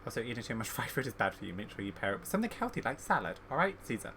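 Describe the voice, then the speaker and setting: A woman's voice: very deep voice, sing-song, racing, a bit bright, a little hiss or hum. A woman streaming a video game with casual, chatty, slangy commentary, reacting aloud as she plays.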